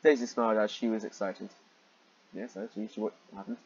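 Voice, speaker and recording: A man reading fan fiction aloud with sarcastic, exasperated asides, often clearing his throat. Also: fairly low pitch, steady tone, fairly quick, a little mellow, dry recording. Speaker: host and reader of fan fiction. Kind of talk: read-aloud of fan fiction with sardonic commentary. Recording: dull, muffled lo-fi microphone.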